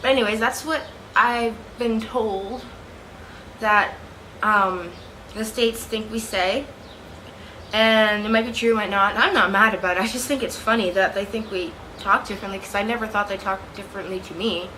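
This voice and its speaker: A woman's voice: mid-range voice, moderate pace, poor audio. A young woman with a Canadian accent chatting casually to her camera at home, rambling, correcting herself and giggling. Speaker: vlogger. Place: room at home with a fan running. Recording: steady fan noise behind.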